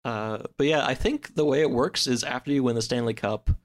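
A man in relaxed podcast conversation, explaining things informally in loose, hedging phrases peppered with "like". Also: medium pitch, brisk. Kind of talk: casual podcast chat, explaining.

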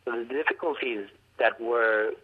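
A man from Haiti with a heavy Haitian accent, an artist telling his story in a phone interview, halting and restarting as he acts out old conversations. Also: medium pitch, husky. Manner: reflective, halting with restarts, acts out quoted dialogue. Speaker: artist and art professor. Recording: tinny phone line.

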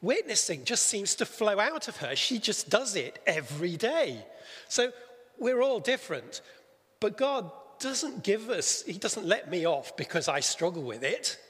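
A man leading a church session, preaching and then chatting with a church member on stage, a little halting and teasing. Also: sits high, sing-song, fairly bright tone. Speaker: church leader interviewing on stage. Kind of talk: sermon-style talk and interview. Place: church hall. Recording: reverberant church hall.

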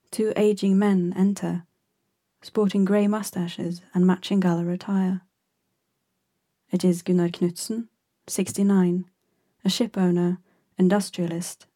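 Female narrator, flat, calm scripted delivery. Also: fairly low pitch. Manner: steady, even, measured.

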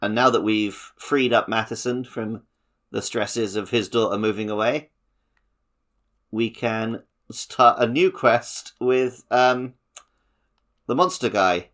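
A man's voice: fairly low pitch, slow pace, fairly bright tone. A man co-hosting a gaming podcast, talking casually about a video game. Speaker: podcast co-host.

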